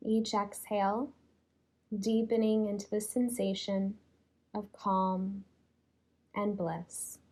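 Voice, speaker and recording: A woman leading a guided yoga relaxation in a soothing, unhurried way, leaving long pauses between phrases. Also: mid-range voice, flat delivery, darkish tone. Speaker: yoga nidra teacher.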